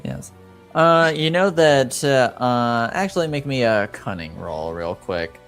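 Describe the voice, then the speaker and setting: A man running a tabletop roleplaying game as game master, speaking hesitantly with long pauses. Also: medium pitch, expressive, fairly bright tone, soft background music. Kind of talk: tabletop roleplaying game narration and in-character dialogue.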